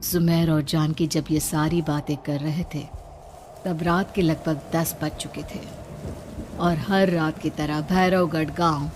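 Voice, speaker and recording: Low-pitched woman narrating horror. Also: noisy background. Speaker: story narrator. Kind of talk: dramatic scripted horror narration.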